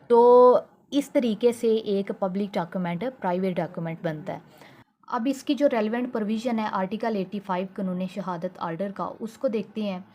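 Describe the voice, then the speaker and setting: A woman's voice: medium pitch, darkish tone. A woman with a Pakistani accent lectures in an explanatory, didactic way, repeating and rephrasing points and mixing in English legal terms. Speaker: law lecturer.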